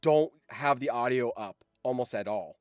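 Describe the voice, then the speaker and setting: A man's voice: medium pitch, normal speed, no room sound, faint music behind. A young man hosting a casual livestream, talking in a rambling way that often trails off, close to the mic. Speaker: streamer and host.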